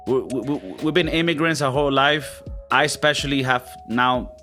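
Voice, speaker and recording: A man's voice: mid-range voice, moderate pace, bright, forceful tone, soft background music. A man in his thirties with a Spanish accent, co-hosting a casual podcast, rambling, swearing and stumbling over restarts. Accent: Spanish-speaking. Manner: rambling, stammering restarts, swearing, mimics other people. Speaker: podcast co-host. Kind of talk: casual chat.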